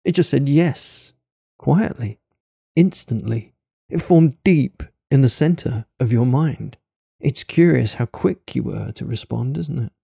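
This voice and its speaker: A man leading a hypnosis session in a slow, deliberate, coaxing manner, shifting into rapid-fire questions. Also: mid-range voice, creaky, warm tone, husky, dry recording, clean studio sound. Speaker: hypnosis narrator.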